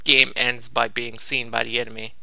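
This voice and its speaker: A man's voice: mid-range voice, flat delivery, normal speed, vocal fry, sharp tone, no echo. A young man giving casual gameplay commentary, laid-back and thinking aloud as he goes. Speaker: gamer recording a walkthrough.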